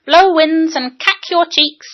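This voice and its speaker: A woman's voice: very high voice, slow pace, pitched above the performer's own voice. A woman voicing a little boy who grandly recites speeches but cannot pronounce his R's. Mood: pompous. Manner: pompous declaiming, R's turned into W's. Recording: dull lo-fi recording.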